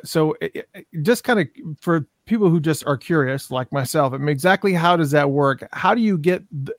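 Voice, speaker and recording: Guy interviewing someone, casual, voice a bit on the high side, really dry audio. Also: no room sound.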